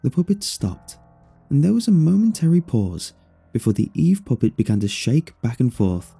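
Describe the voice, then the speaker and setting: A man narrates a first-person horror story in a very dark, mellow voice. It has a husky edge and lively, expressive movement, with music playing underneath. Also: mid-range voice, normal speed.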